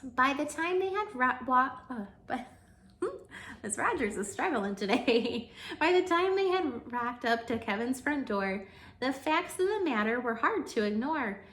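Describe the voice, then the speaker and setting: A woman teacher reading a rhyming children's storybook aloud with expressive narration. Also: high-pitched, a little slow. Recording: echoey, roomy sound.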